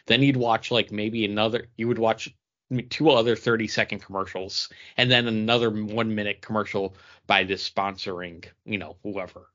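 A man's voice: fairly low pitch, fairly even intonation, normal speed, fairly bright tone, dull audio. A man co-hosting a podcast, talking in a casual conversational style. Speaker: podcast co-host. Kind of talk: casual chat.

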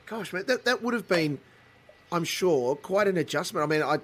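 A man hosting a casual interview, joking with quick banter. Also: sits high, expressive, a bit bright. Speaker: host.

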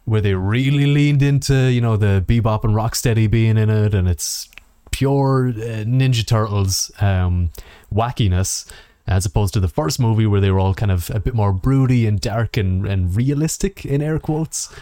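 A man's voice: fairly low pitch, moderate pace, dark tone, dry recording, studio quality. A man co-hosting a podcast in casual film discussion, swearing freely and using mock quotes to make his points. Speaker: podcast co-host.

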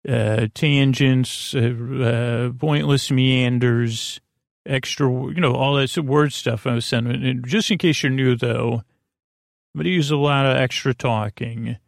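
Low creaky guy doing a sleep podcast, soothing, rambles and trips over his words, close dry sound. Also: fairly even intonation, dry recording. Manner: meandering, halting, stammering with false starts and tangents; soothing, lulling. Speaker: podcast host.

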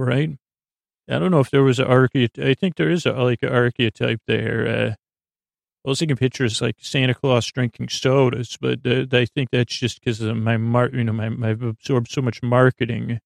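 Gravelly, meandering bedtime podcast man. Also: mid-range voice, no room sound. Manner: halting, meandering, often loses his thread; silly, whimsical, reassuring. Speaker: podcast host. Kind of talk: rambling bedtime podcast monologue.

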